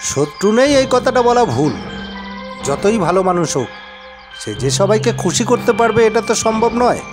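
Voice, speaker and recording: A man's voice: sits high, very animated, moderate pace, over music, voice pushed up. A man playing a polite, inquisitive amateur detective, asking probing, matter-of-fact questions in an audio story.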